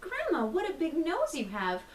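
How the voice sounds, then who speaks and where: A woman's voice: high-pitched, wildly swooping pitch, moderate pace, echoey room, recorded from a distance, a little hiss or hum, pitched above the performer's own voice. A woman voicing a prim, self-righteous young storybook girl with a preachy, emphatic manner. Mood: prim, earnest.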